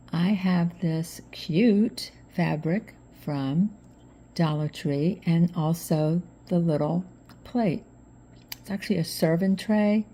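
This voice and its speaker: Middle-aged female craft host, slightly hoarse, relaxed chatty tutorial delivery, warm low tone. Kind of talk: casual craft tutorial narration.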